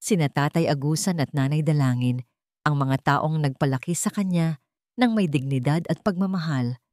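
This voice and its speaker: A woman's voice: sits low, moderate pace, darkish tone, no room sound, clean studio sound. A woman reading a scripted dramatic family story as its narrator. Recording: music behind the voice.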